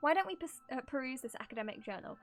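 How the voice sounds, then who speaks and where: A woman's voice: sits high, expressive, fast, soft background music. A woman voicing a shy, smitten young student from a game story, nervous and pleading.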